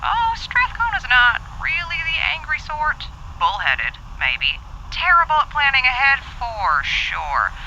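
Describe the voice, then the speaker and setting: A woman's voice: high voice, huge pitch swings, unhurried, hardly any pauses, pushed and bright, lo-fi sound, heavily acted. A woman playing a folksy, unflappable older park warden in an audio drama, heard through a walkie-talkie radio filter. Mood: musing, matter-of-fact. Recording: crackly, band-limited walkie-talkie with noise.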